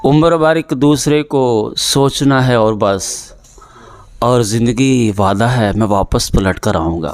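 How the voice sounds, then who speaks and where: A young male poet recites couplets at a gathering in a middle, even voice. He repeats each verse, climbing higher in pitch on the lines that land. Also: takes it slowly. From Pakistan. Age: young adult. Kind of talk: poetry recitation.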